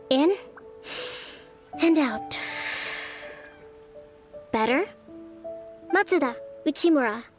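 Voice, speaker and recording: An actress voicing a calm, dignified young female leader who speaks formally and diplomatically in a video game. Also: high-pitched. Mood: calm, firm.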